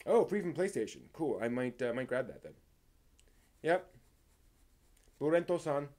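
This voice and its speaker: An American man hosting a live stream, reading out news in a casual, halting, off-the-cuff way. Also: fairly high pitch, big pitch swings, darkish tone.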